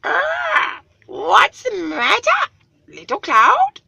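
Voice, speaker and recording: Storybook great eagle: stern forest guardian, high and loud, very slow proclaiming delivery. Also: female voice, wildly swooping pitch, gravelly, no echo, heavily acted. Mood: concerned, booming. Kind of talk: storybook read-aloud character voice.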